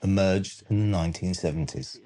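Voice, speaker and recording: Low, mellow male documentary narrator. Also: brisk. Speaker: narrator and channel host. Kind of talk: scripted documentary countdown narration.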